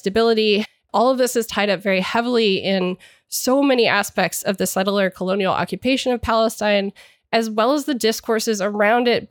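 A woman's voice: medium pitch, no echo, studio quality. A woman hosting a podcast, a little congested from a cold, speaking in an earnest, explanatory way. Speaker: podcast host. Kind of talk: scripted podcast intro and discussion.

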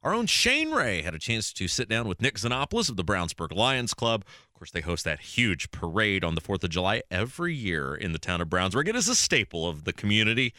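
Male radio host, bright forceful voice, lots of pitch swing, super dry studio sound. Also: very animated, brisk, no echo. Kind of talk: scripted links between segments.

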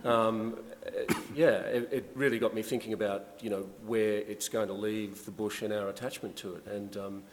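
Male panelist, lowish voice, relaxed and chatty, hall reverb with faint noise. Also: moderate pace, hardly any pauses. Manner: relaxed, conversational, frequent fillers. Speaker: journalist and essayist on panel. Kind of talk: panel discussion.

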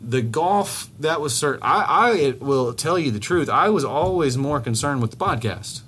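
A man's voice: fairly high pitch, measured pace, a little hiss or hum. Rambling, swearing male podcast co-host. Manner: rambling, restarts often, swears, animated when telling stories. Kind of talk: casual podcast chat.

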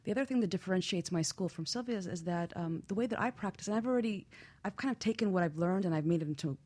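A woman with a husky voice speaking as a guest expert on a radio show, explaining things in measured, structured examples with anecdotes. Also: lowish voice, animated, mellow. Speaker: guest expert, feng shui practitioner.

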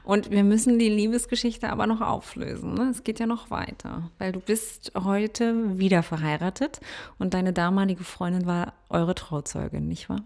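A woman's voice: medium pitch, steady tone, vocal fry, a little mellow. A woman hosting a podcast, asking questions and then closing the episode in a friendly tone with frequent ums. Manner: friendly, fills pauses with ums. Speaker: podcast host. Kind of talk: podcast interview and outro.

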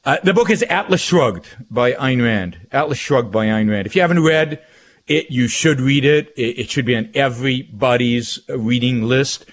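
A middle-aged man with an Israeli accent hosts a talk radio show solo. His pitch is medium for a man. He speaks emphatically, circling back to repeat phrases as he builds his monologue. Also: animated, normal speed. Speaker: guest radio host.

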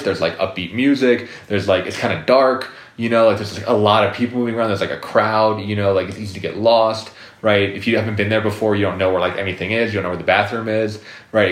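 A man's voice: on the low side, roomy sound. A man talking casually as a guest psychotherapist, rambling with lots of fillers and restarts. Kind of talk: casual interview talk.

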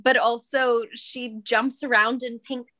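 A woman's voice: fairly high pitch, little pitch movement, slow pace, bright, forceful tone, dry recording. A woman hosting a podcast in casual chat, enthusiastic and full of fillers like "like" and "um". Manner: enthusiastic, uses many fillers like "like" and "um". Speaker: podcast host, actor.

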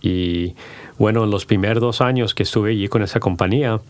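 A middle-aged man, a podcast guest who talks in halting bursts with many restarts and slips into English. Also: on the low side. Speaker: podcast guest, company founder. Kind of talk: casual bilingual interview.

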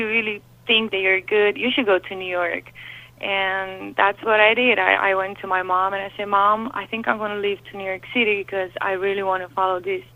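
A woman's voice: medium pitch, no room sound. A young woman with a Romanian accent comes through a thin, tinny phone line. She tells her story candidly and with animation, with lots of fillers. The line sounds dry, with no room around her. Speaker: lead singer and guest. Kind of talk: phone interview. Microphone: telephone.

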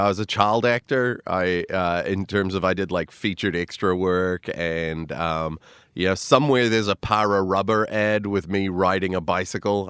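A man's voice: low voice, fairly bright tone, clear, resonant tone, dry recording, clean studio sound. A man, a comedian chatting as a podcast guest, rambling and self-deprecating with lots of fillers. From New Zealand. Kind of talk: casual interview chat.

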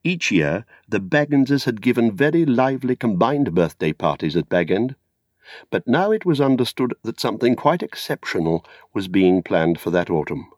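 An older British man narrating a literary story in a measured, theatrical way. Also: medium pitch, big pitch swings, a little mellow, dry recording, studio quality. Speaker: audiobook narrator.

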